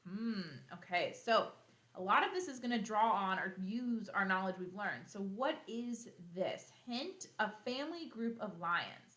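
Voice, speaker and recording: A woman teacher reading a children's nonfiction book aloud, expressively, pausing to explain and think out loud for young pupils. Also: medium pitch, expressive, roomy sound. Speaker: elementary school teacher.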